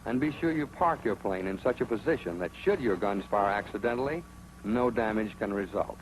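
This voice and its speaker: A man's voice: fairly low pitch, a little fast, a little hiss or hum, rough recording. A man narrating a 1940s training film in an authoritative, sardonic manner. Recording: old 1940s film soundtrack with music.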